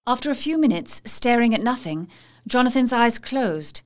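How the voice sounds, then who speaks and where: Casting: actress as tender, anxious young English wife, fairly high voice, earnest diary narration for radio drama. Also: female voice, expressive, normal speed, lightly acted. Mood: uneasy.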